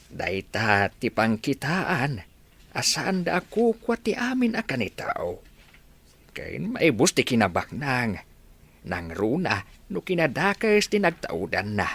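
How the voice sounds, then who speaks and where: Guy doing grumbly old ilocano dad for radio play, gravelly, pitch jumps all over. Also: huge pitch swings, slow pace, a bit bright, full character voice. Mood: scornful. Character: gossiping, disapproving old village father. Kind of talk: Ilocano radio drama acting.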